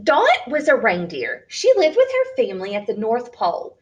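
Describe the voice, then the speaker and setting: A woman reading a picture book aloud for young children in an expressive, deliberate way, as a storyteller. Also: fairly high pitch, muffled recording.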